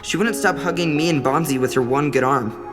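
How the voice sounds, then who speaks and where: A woman plays an earnest, nerdy young boy in an audio drama. The voice is low and sincere, as if dictating a captain's log. It rings with long reverb over clear music. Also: fairly quick, lightly acted. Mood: reflective. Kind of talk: audio drama dialogue and diary narration.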